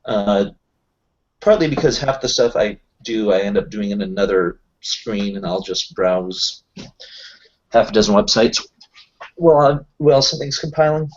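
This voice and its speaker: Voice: mid-range voice, lively intonation, moderate pace, muffled recording. A roleplay player improvising casual in-character talk as an affable tech contractor, explaining things in a hesitant, rambling way. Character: Kyle, affable self-employed tech contractor.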